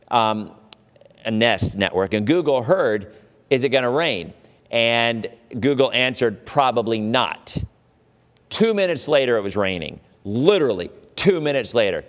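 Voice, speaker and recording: A man's voice: medium pitch, in a large hall. A middle-aged man hosting a live weather stream, chatting casually and rambling with frequent asides while losing his voice.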